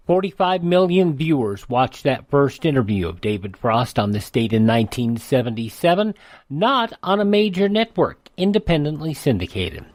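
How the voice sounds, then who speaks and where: A man's voice: medium pitch, very animated, normal speed, darkish tone, no room sound. An American man hosting a radio segment, reading a this-day-in-history script with occasional ad-libbed asides. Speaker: radio host.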